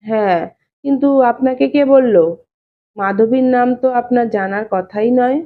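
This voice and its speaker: A shy, stubborn young bachelor read by a woman in an audio story. He speaks plainly and evasively in a high voice. The recording is narrow and phone-like. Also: measured pace, lightly acted. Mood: guarded. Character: shy, stubborn young bachelor brother-in-law, reluctant to marry. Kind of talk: dramatized audiobook reading. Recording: thin phone-like narrow-band sound.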